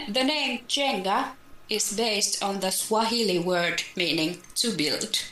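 A woman's voice: medium pitch, slow pace, a bit bright. A woman co-hosting a casual podcast, speaking hesitantly as she searches for her words. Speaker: podcast co-host. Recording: roomy echo, music behind.

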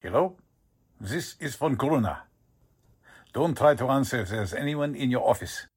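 A man acting a menacing Nazi agent in a radio drama, with a deliberate, commanding, conspiratorial manner. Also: medium pitch, expressive, breathy edge. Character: menacing Nazi agent plotting sabotage by telephone.

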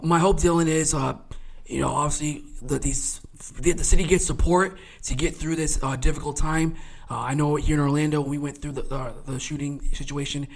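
A man in his late twenties co-hosting a casual sports podcast, chatty and rambling, with plenty of fillers and frequent laughter. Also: a little high. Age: 20s. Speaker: podcast co-host.

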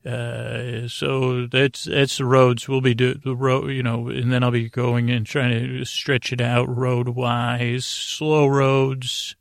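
Rambling man with a creaky, flat delivery. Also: medium pitch, no room sound, clean studio sound. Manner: meandering, halting, trailing off mid-thought. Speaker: podcast host. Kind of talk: rambling bedtime sleep podcast monologue.

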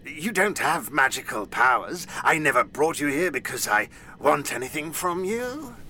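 A man's voice: fairly high pitch, big pitch swings, normal speed, sharp tone, a little hiss or hum, full character voice. An actor playing a vain, manipulative fairy king and father who wheedles, pleads and threatens theatrically. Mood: wheedling.

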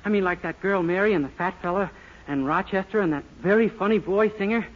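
An actor playing an eager, naive young man in a 1940s radio comedy, boyish, earnest and excitable. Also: high voice, fast talker, heavy background noise, natural voice. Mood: eager, boastful. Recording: dull, lo-fi 1940s radio recording.

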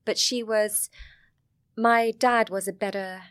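A woman's voice: mid-range voice, fairly even intonation, slow. A middle-aged woman with a Sussex English accent, a guest chatting frankly and lightly, laughing often. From England. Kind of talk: casual interview chat.

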